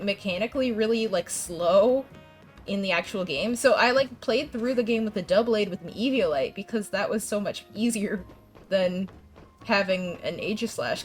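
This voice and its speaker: A young woman streaming a game and chatting with viewers, congested from a coming cold, rambling with restarts and laughs. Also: medium pitch. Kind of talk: casual gameplay chat with viewers.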